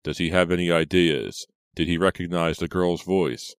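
Anxious young man acted by a narrator, guilt-ridden and reproachful, low male voice, dry recording. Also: steady tone, normal speed, clear, resonant tone, no room sound.

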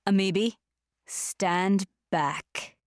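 A woman's voice: medium pitch, fairly even intonation, very slow, no echo. A woman playing a polite, formal and protective spirit lady in a fantasy audio comedy. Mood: stern.

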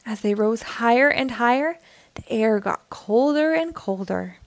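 A woman reading a children's storybook aloud with expressive, measured narration. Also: on the high side, no room sound. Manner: measured, clearly phrased.

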